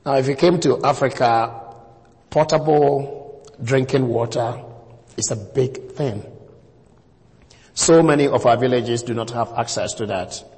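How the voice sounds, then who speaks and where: Slow Ghanaian preacher in a reverberant hall. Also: male voice, mid-range voice, a little mellow. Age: 50s. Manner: slow, deliberate, stressing key words. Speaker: visiting preacher. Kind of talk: church talk to a congregation.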